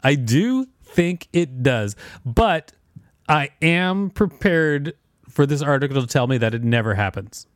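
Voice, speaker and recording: A man's voice: on the high side, lively intonation, unhurried, dry recording, studio quality. A man co-hosting a podcast, mixing casual chat with reading an article aloud. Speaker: podcast co-host.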